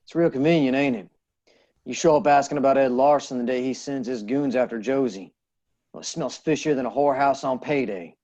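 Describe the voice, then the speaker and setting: A man's voice: mid-range voice, a little fast, darkish tone, lo-fi sound, lightly acted. A man playing a guarded, stern ex-outlaw sheriff who talks tersely in a western table read. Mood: suspicious.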